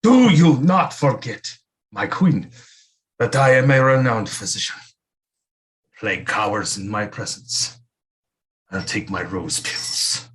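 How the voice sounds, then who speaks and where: An actor playing a flattering, theatrical male seer and physician to a queen in an audio play, speaking in a grand, courtly, dramatic manner. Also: on the low side, slow pace, pauses often. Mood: grave, courtly.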